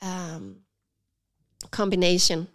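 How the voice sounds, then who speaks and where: A woman with a Danish accent and a slight cold, talking thoughtfully in an interview and often pausing with fillers. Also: on the low side, fairly bright tone. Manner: thoughtful, hesitant with frequent fillers. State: has a cold, slightly stuffy. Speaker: cafe and yoga studio owner, guest. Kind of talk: casual interview conversation.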